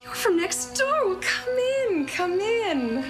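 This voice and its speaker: A woman's voice: extremely high pitch, big pitch swings, slow, heavily acted. An actress playing a polite, sociable suburban mother in a television drama, chatty and gracious. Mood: welcoming. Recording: TV clip with music behind.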